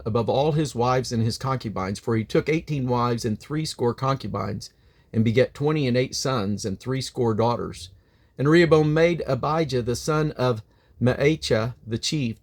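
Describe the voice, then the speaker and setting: A man reading the Bible aloud as a reader, phrasing deliberately and now and then stumbling over names. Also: medium pitch, a little mellow.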